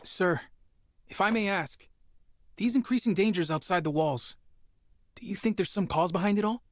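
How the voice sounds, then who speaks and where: An actor playing an earnest, driven young Spartan soldier burdened by guilt in a dramatic video game. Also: male voice, high voice, normal speed, dry recording, studio quality, natural voice. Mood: respectful.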